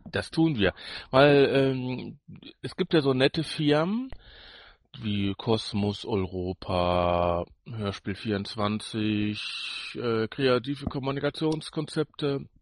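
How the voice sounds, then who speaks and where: A man's voice: medium pitch, takes it slowly, no room sound. A man co-hosting a podcast in casual banter, with a teasing, jokey manner. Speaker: podcast co-host.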